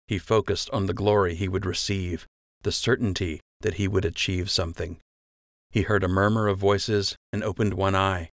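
Male narrator for fiction audiobook, fairly low voice, dry close studio-style sound. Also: fairly even intonation, moderate pace, a bit bright, no room sound.

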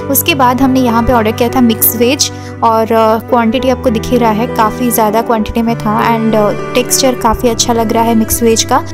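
A young woman with a high voice gives a chatty food vlog review, slipping English words in and pausing often on "uh". Music plays clearly beneath her voice. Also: little pitch movement. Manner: chatty, mixes English words into Hindi, frequent "uh" pauses. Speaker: food vlogger. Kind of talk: casual restaurant review voice-over. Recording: music playing under the voice.

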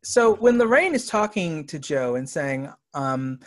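A man interviewing an actress, asking calm, probing questions with short prompts. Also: a little high, measured pace. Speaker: interviewer, writer-director.

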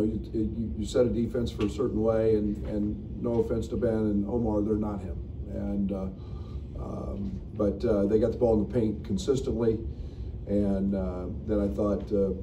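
Middle-aged man, postgame press conference, frank and halting, lowish voice, echoey room, heard from across the room. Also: little pitch movement, far from the mic. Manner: frank, halting, many fillers and restarts. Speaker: basketball coach. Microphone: table mic, recorded from the room. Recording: echoey room, picked up from afar, noisy.

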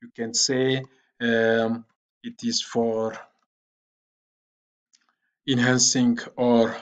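Slow, halting man giving a screen tutorial. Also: mid-range voice. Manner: slow and halting, with frequent "uh" fillers. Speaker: online platform trainer. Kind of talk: screen-recorded tutorial walkthrough.

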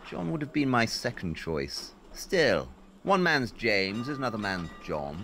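Guy acting as a brainy cocky detective, sharp bright voice, clipped and lively, audio play, bit of hiss behind. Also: mid-range voice, measured pace, gravelly, full character voice. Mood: smug, explaining. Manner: clipped, superior, matter-of-fact explaining.

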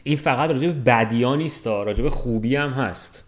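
A man in his twenties talking casually and colloquially, explaining his view and checking that the listener follows. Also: medium pitch. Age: 20s. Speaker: podcast co-host. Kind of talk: casual chat. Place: room with large window, indoors. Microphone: desk microphone.

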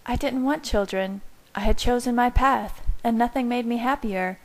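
A woman reading the part of an earnest, tender young pregnant woman, speaking gently and reassuringly. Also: a little high, moderate pace, voice pushed up, close to an everyday voice, rough recording.

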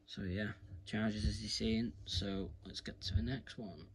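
A man's voice: lowish voice, steady tone, unhurried, slight background noise. A British man giving a casual hands-on tutorial in an informal, rambling, repetitive way, recorded on a phone at home. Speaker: video presenter giving a how-to demonstration. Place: indoors at home, carpeted room. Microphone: phone camera filming his hands.

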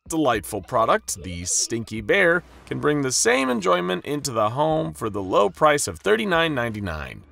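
A man voicing an eager female toy executive with a salesy pitch. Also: big pitch swings, normal speed, fairly bright tone.

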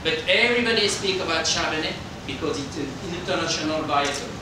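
Middle-aged male winemaker, French accent, slightly high voice, halting English, informal presentation picked up from a distance. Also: animated. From France. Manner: halting non-native English with simple phrasing. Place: indoor hotel function room. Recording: roomy, distant microphone with background noise.

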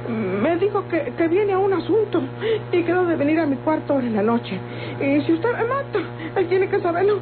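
A woman's voice: very high-pitched, big pitch swings, normal speed. An actress playing a frightened, pleading Mexican woman in an old radio drama. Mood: terrified pleading. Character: frightened pleading young woman, defiant when cornered. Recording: tinny vintage radio recording, music behind.

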